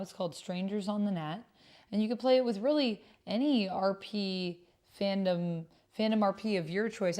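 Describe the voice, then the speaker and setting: A woman's voice: mid-range voice, a little mellow. A woman hosting a livestream, chatting casually and informally with her viewers. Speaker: livestream host.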